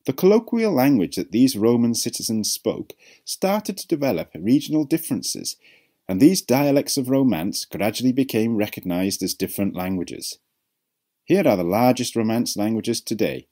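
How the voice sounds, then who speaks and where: A man's voice: medium pitch, warm tone. A man reading scripted narration for an educational video about language, as its narrator.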